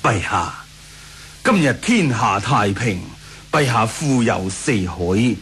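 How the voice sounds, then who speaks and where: A man's voice: on the low side, sing-song, slow, fairly bright tone, slight background noise, heavily acted. A man with a Hong Kong Cantonese accent playing a scheming, deferential imperial councillor in a radio drama, speaking persuasively.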